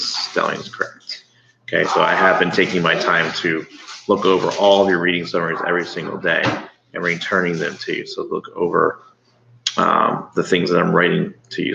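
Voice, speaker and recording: A middle-aged American man, a schoolteacher giving an informal, halting read-aloud lesson to his pupils. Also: deep voice, gravelly. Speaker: elementary school teacher. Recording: roomy, dull, faintly noisy home recording.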